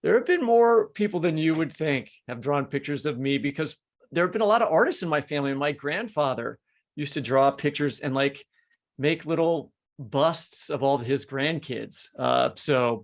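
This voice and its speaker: A man's voice: a little high, animated, normal speed, gravelly. A middle-aged man hosting a podcast in an informal, joking, conversational way, with plenty of words. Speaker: podcast host.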